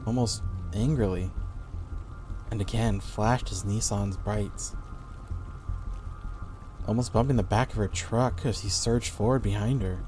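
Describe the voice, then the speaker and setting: A man's voice: on the low side, steady tone, measured pace, pauses often, darkish tone. A man reading a scripted horror story as the narrator of an audio play. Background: background music.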